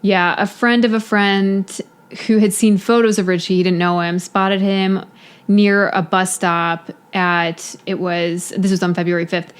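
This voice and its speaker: A woman's voice: medium pitch, level tone, unhurried, smooth. A woman co-hosting a podcast, telling a case in casual chat with a lot of "you know" fillers. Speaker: podcast co-host.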